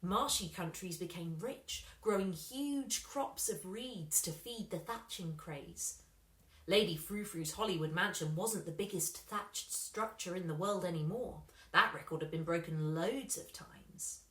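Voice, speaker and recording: A woman reading a children's story expressively, with dramatic pauses and emphasis. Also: mid-range voice, a bit bright. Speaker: story reader. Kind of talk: scripted children's story narration. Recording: roomy, echoing room sound.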